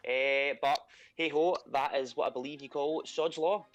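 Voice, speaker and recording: A man's voice: a little high, fairly even intonation, normal speed. A man from Scotland with a thick Scottish accent, chatting casually as a contestant being interviewed, with many fillers and a self-deprecating tone.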